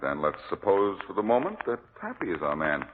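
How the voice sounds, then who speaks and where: Sardonic confident detective guy, animated, thin old time radio sound. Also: low-pitched, a little fast. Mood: wry. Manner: wry, sardonic. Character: wry, shrewd, self-assured amateur male detective. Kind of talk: 1940s radio acting. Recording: echoey, hissy narrow-band old radio recording.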